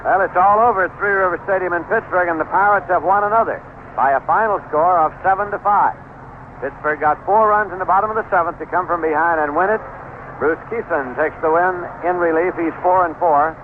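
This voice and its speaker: A man calling baseball play by play on 1970s radio, describing the action in measured, short phrases. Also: high-pitched. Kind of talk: 1970s radio sports commentary. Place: ballpark broadcast booth. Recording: noisy, narrow-band old AM radio broadcast.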